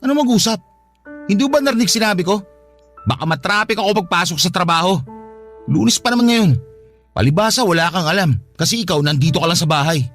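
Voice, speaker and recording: An actor playing an angry, jealous, belittling live-in partner in a radio drama, scornful and accusing. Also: male voice, high voice, a little fast, fairly bright tone, soft background music. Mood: scornful, impatient.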